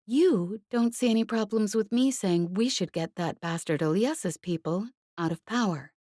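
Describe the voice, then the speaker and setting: A woman's voice: medium pitch, moderate pace, dark tone, dry recording, clean studio sound, voice pushed up, lightly acted. A woman voicing a brash, cocky young female smuggler pilot in an audiobook, with sassy, clipped, colloquial talk. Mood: brash, challenging. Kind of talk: audiobook character voice.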